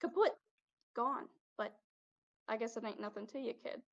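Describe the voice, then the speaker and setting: Young woman as a streetwise spirit guy, slightly high. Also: lively intonation, normal speed, gravelly, muffled recording. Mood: resigned, gruff. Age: young adult. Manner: streetwise, drops grammar, calls listener kid. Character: jaded male spirit possessing a teenage girl's body. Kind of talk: student one-act stage acting.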